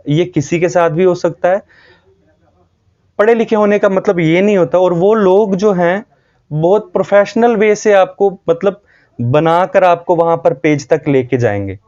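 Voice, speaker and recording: A North Indian man speaks to viewers in an earnest, informal explanatory style. His voice sits a little high and is on the mellow side, and he repeats points for emphasis. The recording is slightly dull. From Jammu, India. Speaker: video host.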